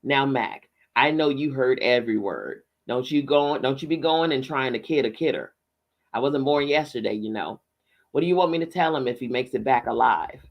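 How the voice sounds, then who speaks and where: A man's voice: mid-range voice, normal speed, lo-fi sound, lightly acted. A man voicing a matronly, gossipy small-town postmistress with folksy, bantering talk in a read-aloud story. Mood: teasing.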